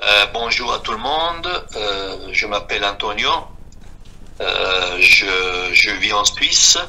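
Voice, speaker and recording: The sort of man heard giving his testimony on a community radio slot: fifties, low-pitched, feeling his way haltingly from phrase to phrase. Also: bright, forceful tone, faint music behind. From Switzerland. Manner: halting, repeating himself. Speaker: guest giving a personal testimony. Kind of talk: unscripted spoken testimony.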